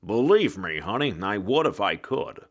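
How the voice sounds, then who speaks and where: A man's voice: fairly low pitch, big pitch swings, moderate pace. Man playing a cocky hardboiled male ghost. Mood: cocky, taunting. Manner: wisecracking, slangy, brash. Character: cocky, sardonic male ghost, hardboiled old-time tough guy.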